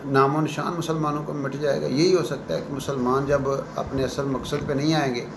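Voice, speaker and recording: Reverberant room, noticeable background noise, male professor, earnest current-affairs commentary, halting unscripted delivery. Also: fairly high pitch, little pitch movement.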